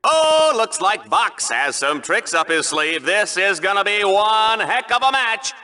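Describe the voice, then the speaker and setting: Very high-pitched, manic show announcer. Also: male voice, sing-song, a little slow, sharp tone, exaggerated voice. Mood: agitated. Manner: theatrical, hammy, mock-dramatic. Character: flamboyant, manic, vain male sports show announcer. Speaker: game show commentator. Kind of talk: over-the-top video game sports commentary.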